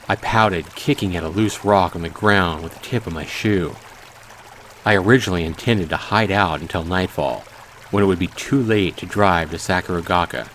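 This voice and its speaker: Low-voiced man reading a game aloud. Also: a bit bright, a little hiss or hum. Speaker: let's play host. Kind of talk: reading a visual novel aloud with asides.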